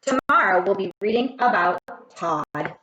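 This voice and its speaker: A woman reading a children's story aloud in an expressive way, speaking straight to young listeners. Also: mid-range voice, a little slow. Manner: expressive, addresses children directly. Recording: roomy echo with faint noise.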